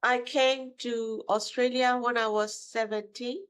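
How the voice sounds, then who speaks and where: A woman's voice: fairly high pitch, little pitch movement, unhurried, fairly bright tone, smooth. A woman, answering interview questions in broken English with hesitations and tag questions. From Myanmar. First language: Burmese. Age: 50s. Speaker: guest, mortgage broker.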